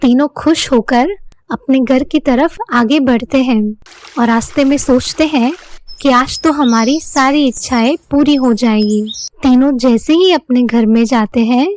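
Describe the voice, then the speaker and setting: A woman's voice: high-pitched, a little slow, darkish tone, a little hiss or hum. A woman giving scripted narration of children's moral stories.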